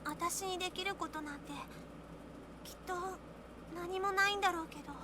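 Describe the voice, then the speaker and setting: A woman voicing a polite, earnest young girl, gentle but resolute, in a Japanese visual novel game, with music behind her. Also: extremely high pitch, quick pace, noisy background. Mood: subdued.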